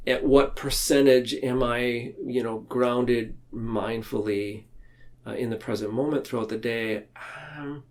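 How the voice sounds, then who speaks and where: A man speaking as a podcast guest in a reflective, conversational way, earnest and halting, tending to ramble. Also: mid-range voice, steady tone. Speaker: podcast guest, mental health counselor. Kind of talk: reflective conversational interview talk.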